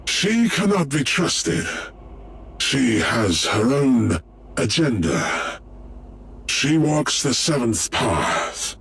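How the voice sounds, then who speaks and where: A proud, cryptic alien seer from a video game. The voice is gravelly and very animated, pronouncing each phrase slowly with solemn, fateful weight. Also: male voice, medium pitch, a little hiss or hum, full character voice. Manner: slow, portentous. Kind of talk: dramatic video game cutscene acting.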